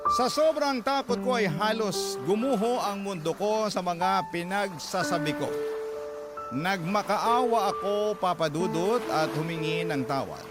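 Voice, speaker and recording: A male radio drama narrator reads a love letter as a personal confession. His fairly high voice is anguished and sentimental, lingering on pauses. Music is loud throughout behind him. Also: expressive. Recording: prominent music bed.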